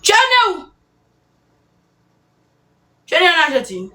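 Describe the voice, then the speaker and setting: A woman's voice: very high-pitched, wildly swooping pitch, measured pace, sharp tone, recorded from a distance. A woman ranting in a live chat, rambling and emphatic, repeating herself.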